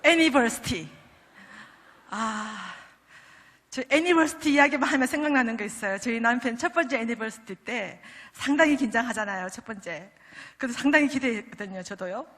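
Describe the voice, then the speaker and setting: A woman's voice: on the high side, lively intonation, moderate pace, a bit bright. A middle-aged woman from Daegu with a Gyeongsang accent, a pastor's wife giving a humorous testimony sermon at a prayer meeting. She chats and jokes with the congregation through teasing asides. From Daegu, South Korea. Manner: chatty and joking, teasing the audience with asides. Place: large church sanctuary. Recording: long reverb of a large church hall.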